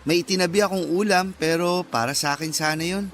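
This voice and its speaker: A man's voice: sits high, fairly quick, a bit bright, soft background music. A man playing a friendly, generous nineteen-year-old eatery helper in a Filipino radio drama, speaking politely and casually. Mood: friendly, kind.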